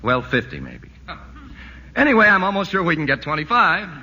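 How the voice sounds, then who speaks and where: An actor playing a placating architect who rattles off explanations, in a 1940s radio play. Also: male voice, high-pitched, huge pitch swings, a little fast, pushed and bright, slight background noise, full character voice. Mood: explaining. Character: fast-talking, placating male architect. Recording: dull old radio broadcast with reverb.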